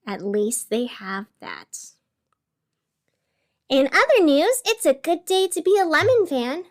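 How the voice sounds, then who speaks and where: High-voiced sardonic woman radio host played by actress. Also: sing-song, heavily acted. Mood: wry. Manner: sardonic, theatrical, pausing. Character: wry young female city radio host, bitter and wounded. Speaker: fictional radio show host. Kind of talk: scripted audio drama monologue.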